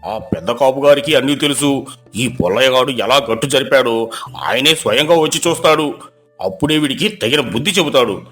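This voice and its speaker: A man voicing an indignant farmer who complains loudly and insistently. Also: medium pitch, expressive, a little fast, soft background music, close to an everyday voice. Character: angry farmer accusing neighbour, loud and indignant.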